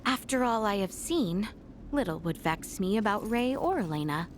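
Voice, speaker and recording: An actress playing a poised, inquisitive young noblewoman in a fantasy game, speaking in formal, measured phrases. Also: mid-range voice, expressive, slight background noise, natural voice. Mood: puzzled, composed. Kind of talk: video game character acting.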